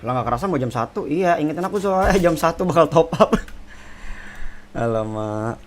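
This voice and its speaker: A man's voice: a little high, lively intonation, fairly quick. A man with an Indonesian accent chatting casually on a gaming livestream, slangy and given to swearing. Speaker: game streamer. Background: game sounds.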